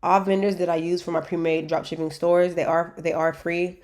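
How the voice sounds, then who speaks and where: Stuffy-nosed woman, slightly low voice, casual and rambling with fillers. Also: flat delivery, normal speed, a little mellow. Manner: chatty, loose, many fillers and restarts. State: stuffy nose from allergies. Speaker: dropshipping store maker reviewing vendors. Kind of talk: casual screen-share walkthrough.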